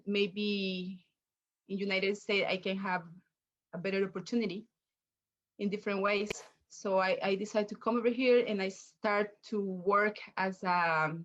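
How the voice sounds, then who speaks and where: A woman from El Salvador with a Salvadoran Spanish accent, a guest chef answering interview questions on a video call from a home kitchen, pausing and repeating words as she searches for them in English. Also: mid-range voice, fairly bright tone. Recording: muffled video call audio.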